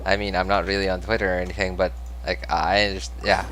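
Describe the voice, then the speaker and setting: Low-voiced young man livestreaming over background noise. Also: level tone, a little fast, fairly bright tone. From Philippines. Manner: rambling, apologetic, self-deprecating. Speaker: game livestreamer. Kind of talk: casual chat with viewers.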